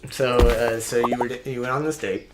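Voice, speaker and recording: A man's voice: medium pitch, steady tone, moderate pace. A young adult man bantering casually as co-host of a gaming video, teasing and prodding the other player. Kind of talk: casual banter over game play. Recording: music behind the voice. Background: video game music.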